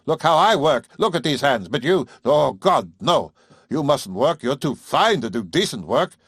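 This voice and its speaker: A man voicing a gruff, bullying old farmer with a whining, rough country dialect. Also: medium pitch, big pitch swings, moderate pace, dry recording, studio quality, voice pushed up. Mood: angry, sneering.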